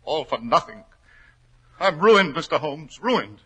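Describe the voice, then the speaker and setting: A cornered, hot-tempered nobleman in vintage radio melodrama. His rough, tense voice swings widely in pitch as he pleads with great passion, on an old 1940s recording. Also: sing-song, a little slow, fairly bright tone, exaggerated voice. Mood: desperate pleading. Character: desperate, hot-tempered aristocrat racehorse owner, cornered and guilty.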